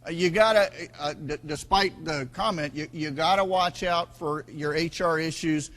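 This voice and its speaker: Man presenter at a conference session, a little higher voice than most, loose and conversational. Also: normal speed, a bit bright. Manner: loose, conversational, with fillers and repeated words. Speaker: conference speaker. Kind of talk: conference workshop talk. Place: conference room. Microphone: handheld microphone.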